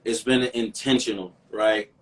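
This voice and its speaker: A man's voice: lowish voice, steady tone, measured pace, recorded from a distance. A man from Chicago, a singer guest in a casual interview, talking in a reflective, earnest way and often repeating words as he thinks. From Chicago, USA.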